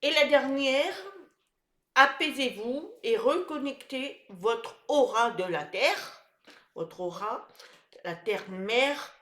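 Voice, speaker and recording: A woman's voice: on the high side, lively intonation, takes it slowly, a bit bright, roomy sound. Nasal, congested French-speaking woman vlogger. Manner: hesitant, many "euh" fillers and restarts. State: congested with a head cold. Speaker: wellness vlogger. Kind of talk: casual talk to camera.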